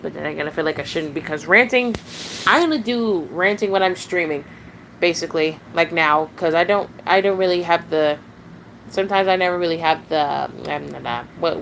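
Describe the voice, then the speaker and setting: A woman's voice: lowish voice, creaky, slight background noise, poor audio. A nineteen-year-old woman streaming live, rambling casually, chatting with viewers and often giggling and restarting her sentences.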